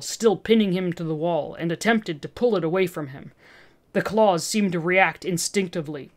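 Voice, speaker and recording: A woman reading fiction aloud as narrator, in a dramatic scripted storytelling style. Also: on the low side.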